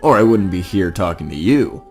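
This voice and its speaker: A man's voice: sits low, animated, moderate pace. A man voicing a weary, sardonic saxophone-playing gambler in an audio drama, with wry, dry deflections. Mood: evasive, sardonic. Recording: music under the voice.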